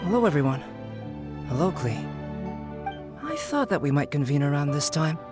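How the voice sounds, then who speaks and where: An actor playing a cerebral young male alchemist in a video game, composed, polite and measured. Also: fairly high pitch, sing-song, music in the background.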